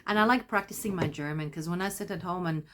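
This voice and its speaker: A woman's voice: fairly low pitch, fast, noisy background. Chatty woman vlogger. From Latvia. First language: Latvian. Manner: rambling, chatty, self-interrupting. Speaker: crochet vlogger. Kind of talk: casual chat to camera.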